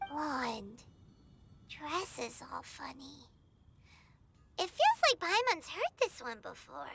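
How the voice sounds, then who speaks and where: Tiny fairy guide, childlike squeak, extremely high, animated, cheeky, excitable, anime game character. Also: female voice, background noise, exaggerated voice. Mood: puzzled, excited. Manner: refers to herself in the third person, excitable. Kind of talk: anime video game voice acting. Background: game music.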